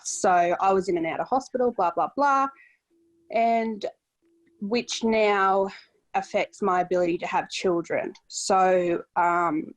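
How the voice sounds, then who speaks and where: A woman's voice: medium pitch, poor audio. Candid woman in her late twenties. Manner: open, candid, rambling with fillers. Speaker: podcast co-host. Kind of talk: casual video-call chat.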